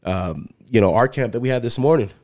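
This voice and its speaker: A man's voice: mid-range voice, lively intonation, fairly quick, a little mellow, no echo. Laid-back man chatting, dry sound. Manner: laid-back, peppers speech with "man" and "dude". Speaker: podcast co-host and football coach. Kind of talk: casual chat.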